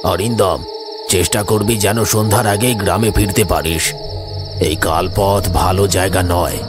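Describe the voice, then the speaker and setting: A man as a grave, low-voiced village elder. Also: fairly even intonation, normal speed, lightly acted. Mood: grave warning. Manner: stern, concerned, advising. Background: music, insect sounds.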